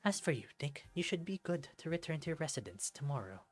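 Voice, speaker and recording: A man voicing a calm, formal superhero leader with deliberate, measured wording for a visual novel. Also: on the high side, breathy edge, soft background music. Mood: businesslike.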